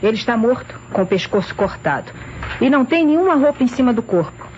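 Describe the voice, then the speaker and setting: An actress playing a brisk police colleague reporting to her chief in an old radio mystery. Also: fairly high pitch, big pitch swings, a little mellow. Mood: businesslike. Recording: muffled, hissy old radio recording.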